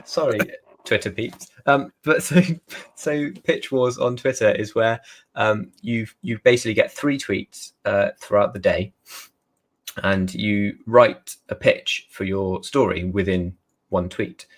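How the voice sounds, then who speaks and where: A man from Somerset with a softened West Country English accent, chatting casually as an interview guest, self-deprecating and trying to sound well spoken. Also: lowish voice, a little slow. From Somerset, England. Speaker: guest author.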